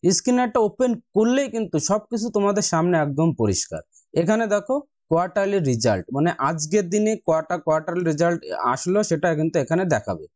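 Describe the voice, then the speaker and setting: A man's voice: high-pitched, big pitch swings, quick pace, darkish tone, no echo. A man with an Indian Bengali accent, narrating a casual step-by-step tutorial and addressing viewers as friends in an instructional way. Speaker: investing tutorial host.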